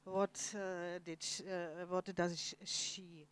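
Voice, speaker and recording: Czech-accented woman in halting English. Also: on the low side, flat delivery, clean tone. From Czech Republic. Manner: halting basic English, self-deprecating. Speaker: guest author. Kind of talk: onstage literary conversation. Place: cultural center event hall, Jerusalem.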